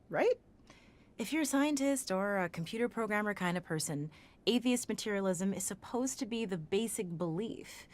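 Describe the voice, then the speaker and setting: A woman plays a thoughtful researcher with a medium-pitched voice. She is articulate and opinionated, with an exasperated edge, talking naturally as in an audio drama discussion. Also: darkish tone, close to an everyday voice.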